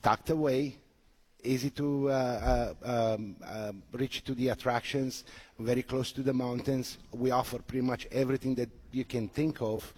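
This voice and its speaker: A man from Romania answering interview questions as a resort representative, speaking haltingly with frequent hesitations. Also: mid-range voice, steady tone, a little mellow, husky. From Romania (Transylvania). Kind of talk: unscripted radio interview answers.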